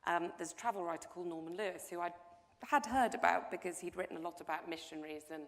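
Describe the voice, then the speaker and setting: A woman's voice: fairly low pitch, fast, slight background noise. Chatty woman in a hall. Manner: chatty storytelling with restarts. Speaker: panel guest, documentary producer. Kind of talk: panel discussion anecdote. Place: lecture hall before an audience. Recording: long hall reverb.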